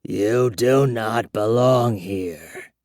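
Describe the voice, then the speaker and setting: Reader putting on an old vampire, super slow and murmury, creepy and tired, dry close mic. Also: a little mellow, no echo. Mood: menacing. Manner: slow, low, murmuring and whispery. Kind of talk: audiobook character reading.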